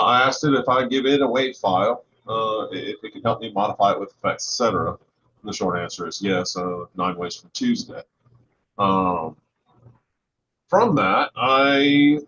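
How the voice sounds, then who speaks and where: A man casually explaining as a guest, halting and going step by step. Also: mid-range voice, fairly bright tone, highs cut off, slight background noise. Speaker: guest contributor.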